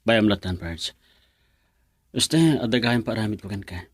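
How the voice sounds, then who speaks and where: A man's voice: lowish voice, lively intonation, moderate pace, slightly rough, no echo, clean studio sound. A man voicing a troubled man in a radio drama who insistently pleads for information. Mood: urgent. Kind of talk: Ilocano radio drama acting.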